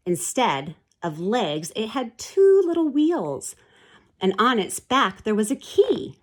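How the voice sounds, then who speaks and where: A woman's voice: fairly high pitch, big pitch swings, slow. A woman reading a children's storybook aloud expressively and explaining things in a teacherly way. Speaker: storybook reader. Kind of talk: expressive children's read-aloud with picture commentary.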